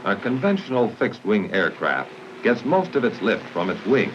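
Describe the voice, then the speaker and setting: A man's voice: lowish voice, sing-song, slight background noise. Muffled 1950s American training film narrator, male. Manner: formal, instructional, measured delivery.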